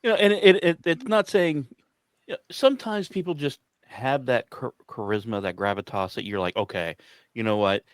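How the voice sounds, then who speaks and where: A man's voice: mid-range voice, normal speed, dry recording, studio quality. A man in casual conversation, speaking effusively and often repeating words as he starts a phrase. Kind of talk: casual chat.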